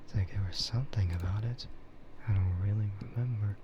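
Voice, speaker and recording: A man rambling casually and hesitantly in a soft, breathy ASMR whisper, as a streamer chatting close to the mic. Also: on the low side, fairly quick, highs cut off, noisy background.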